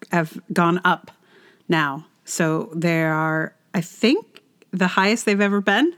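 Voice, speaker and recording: Slow-paced middle-aged woman podcaster. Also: lowish voice. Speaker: podcast host and musician. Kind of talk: casual solo podcast monologue.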